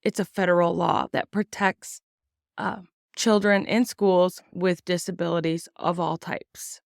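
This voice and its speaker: A woman with a fairly low voice talks through the news in a blunt, casual way, swearing often and filling pauses with ums. She sounds sick and worn out, with a slight rasp. The recording is close and dry. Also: fairly even intonation, dry recording. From United States. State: sick and tired, a bit raspy. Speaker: podcast host. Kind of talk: casual opinion commentary on news.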